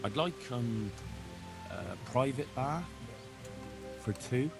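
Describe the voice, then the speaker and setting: A man's voice: medium pitch, expressive, slow, gravelly. An actor playing a polite, earnest young man, the hero of a medieval video game. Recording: game dialogue under music.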